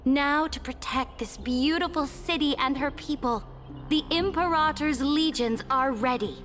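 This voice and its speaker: High-voiced imperious female ruler. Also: a bit bright, faint music behind. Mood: commanding. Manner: formal, haughty, measured oratory. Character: imperious, cold, commanding female ruler addressing her council. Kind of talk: dramatic game voice acting.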